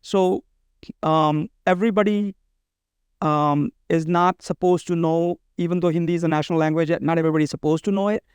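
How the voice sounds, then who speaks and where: A man's voice: on the high side, steady tone, a little fast, clean tone, no room sound. A man talking casually as an interview guest, earnest and often repeating his phrases. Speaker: podcast guest, bridal expo organizer.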